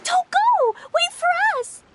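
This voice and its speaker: Very high, excitable little girl. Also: slow, heavily acted. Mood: excited. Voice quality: childlike. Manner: exclamatory, wide-eyed. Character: small excitable young girl adventurer, sweet and curious. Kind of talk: animated video game voice acting. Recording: music under the voice.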